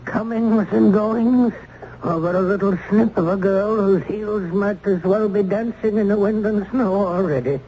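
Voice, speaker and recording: High-voiced, grumbling old jailer. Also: male voice, unhurried, mellow. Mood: grumbling, callous. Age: older. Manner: grumbling, archaic rustic phrasing. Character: grumbling, callous old colonial prison turnkey. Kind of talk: old-time radio acting. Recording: dull, hissy old radio recording.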